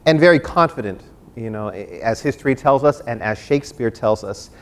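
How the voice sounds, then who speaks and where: A man's voice: lively intonation, brisk. A man hosting a panel discussion on a theater stage in a conversational interviewing style. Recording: clear background noise with low rumble.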